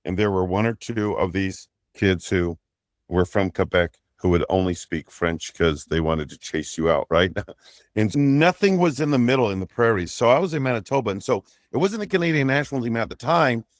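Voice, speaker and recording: A man's voice: on the low side, expressive, moderate pace, dry recording. A middle-aged man, a native Portuguese speaker, co-hosting a soccer podcast and telling long, rambling stories with frequent asides. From Brazil. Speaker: podcast co-host. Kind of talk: casual chat, storytelling.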